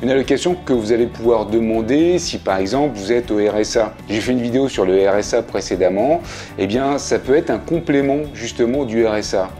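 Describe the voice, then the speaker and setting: A man's voice: medium pitch, a little fast, over music. A man in his fifties, seated in his living room, explaining things to camera in a chatty, plainspoken way with frequent fillers. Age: 50s. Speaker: video creator. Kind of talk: casual explainer video.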